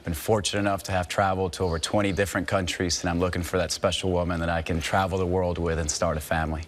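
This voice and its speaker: A man's voice: sits low, little pitch movement, fast talker, background noise. A man from Venezuela introducing himself formally and steadily as a dating contestant. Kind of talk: prepared self-introduction.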